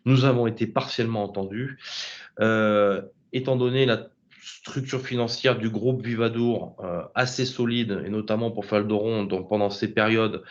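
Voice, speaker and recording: Hesitant low-voiced man on a call. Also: steady tone, moderate pace. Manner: hesitant, many fillers. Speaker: cooperative group executive speaker. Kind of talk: webinar presentation. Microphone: video call. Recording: dull lo-fi video call audio.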